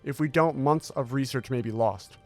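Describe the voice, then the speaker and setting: A man playing a composed, determined military scientist who speaks in a measured, formal, authoritative way in an audio drama. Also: medium pitch, a little mellow, faint music behind, natural voice. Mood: urgent, firm. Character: composed, determined male military intelligence scientist briefing Marines. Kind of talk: audio drama acting, briefing.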